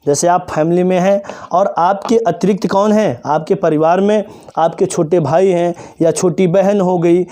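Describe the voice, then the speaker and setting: A male teacher giving a classroom lesson to children, asking questions and answering them himself, and slowly spelling out example phrases. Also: sits high, fairly even intonation, dark tone. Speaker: English teacher.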